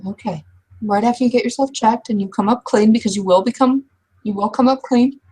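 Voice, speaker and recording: A woman playing a caring, protective female friend in a tabletop roleplaying game, gently instructing in an earnest, hesitant manner. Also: a little high, brisk, breathy edge. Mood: protective, firm.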